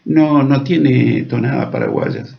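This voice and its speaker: A man hosting a church program, interviewing guests in a slow, deliberate manner with a gravelly, husky voice. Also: medium pitch, huge pitch swings. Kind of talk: friendly church radio interview. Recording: dull lo-fi sound.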